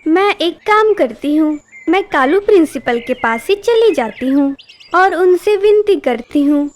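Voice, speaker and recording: A woman voicing a poor, gentle mother bird in a cartoon, earnest, apologetic and worried. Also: very high voice, animated, unhurried. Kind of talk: Hindi cartoon voice acting.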